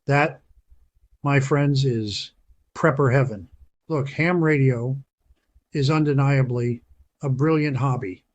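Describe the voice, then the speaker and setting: A man's voice: medium pitch, slow, long pauses, muffled recording. Man narrating a prepper radio video. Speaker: channel host. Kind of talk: scripted narration.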